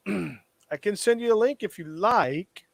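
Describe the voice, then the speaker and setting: Slow-talking high-voiced laid-back man. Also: expressive, creaky, no echo. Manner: laid-back, joking, laughs often. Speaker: stream co-host. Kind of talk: casual live-stream chat.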